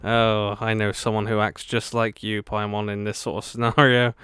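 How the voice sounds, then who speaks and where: A woman's voice: very deep voice, little pitch movement, moderate pace. A woman giving casual, chatty, playful gameplay commentary as a video host, reacting aloud to the characters. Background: faint game music.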